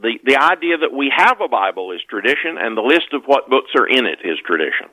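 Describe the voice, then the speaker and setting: A man's voice: medium pitch, very animated, brisk, bright, forceful tone, no room sound, low-quality recording. A man speaking as an author guest on a podcast, explaining things conversationally and emphatically, often repeating and rephrasing his points.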